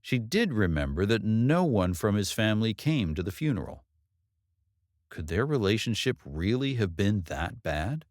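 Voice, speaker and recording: A man's voice: lowish voice, sing-song, moderate pace, no echo, studio quality. A man reading a novel aloud as an audiobook narrator, in scripted storytelling style.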